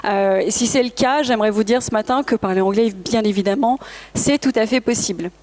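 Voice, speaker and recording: Higher-pitched woman giving a motivational talk. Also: brisk, a little mellow. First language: English. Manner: earnest, motivational, addresses the audience directly; occasional self-corrections and hesitations. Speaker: conference speaker, language school founder. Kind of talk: presentation to an audience. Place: talk at a professional trade fair.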